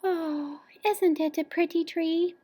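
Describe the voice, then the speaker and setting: A woman voicing a gentle, drowsy mother bear in a children's storybook, soft and sleepy. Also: extremely high pitch, steady tone, slow pace, voice pushed up.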